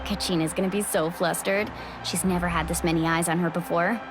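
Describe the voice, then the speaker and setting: A woman's voice: on the low side, fairly even intonation, brisk, over music, close to an everyday voice. A woman voicing a spirited young woman in a game, friendly and guiding, fierce when her friends are insulted. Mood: cheerful. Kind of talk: game voice acting.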